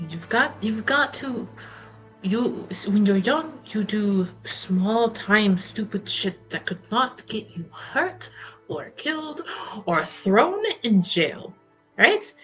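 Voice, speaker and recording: A woman improvises as a tabletop roleplaying player with a bright, forceful voice. She takes her time, halting and then stressing words, and her pitch swings widely. Faint music plays behind her. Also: sing-song, low-quality recording. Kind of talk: improvised in-character game banter.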